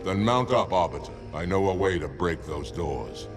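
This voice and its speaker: Solemn alien military leader for a game: profoundly deep, formal, commanding, music behind. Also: male voice, animated, normal speed. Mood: resolute. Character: stern, dignified male alien warrior commander. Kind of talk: video game cutscene acting.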